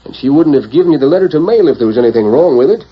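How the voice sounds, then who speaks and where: A man's voice: fairly high pitch, animated, rapid-fire, husky. An actor playing an easygoing older rancher father, talking in folksy cowboy speech on a 1940s radio western. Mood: reassuring. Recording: muffled 1940s radio recording.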